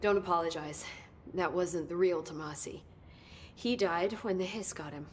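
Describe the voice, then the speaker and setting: An actress playing an earnest, sharp-witted research scientist in a video game, articulate and clinical in her wording. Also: on the low side, normal speed, a little hiss or hum, close to an everyday voice. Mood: businesslike. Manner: articulate, clinical wording, emphatic when stirred. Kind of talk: naturalistic video game acting.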